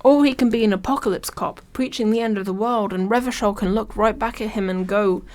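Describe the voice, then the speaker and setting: A woman's voice: medium pitch, fairly quick, a little mellow. A woman reading scripted video essay narration in a wry, informal way.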